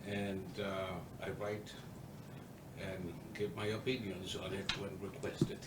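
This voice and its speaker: A man, a think tank fellow, introducing himself in a measured way with a few fillers. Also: sits low, flat delivery. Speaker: institute vice president and senior fellow. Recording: distant, echoey, noisy room mic.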